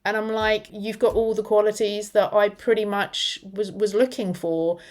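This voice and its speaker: A woman in her forties, a radio interview guest, telling a personal story in an animated, reflective way. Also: mid-range voice, normal speed. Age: 40s.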